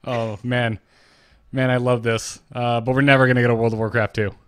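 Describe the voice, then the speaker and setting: A man's voice: medium pitch, little pitch movement, a little fast, smooth, no echo. Dry-sounding man co-hosting a podcast. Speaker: podcast co-host. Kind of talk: casual chat about video game lore.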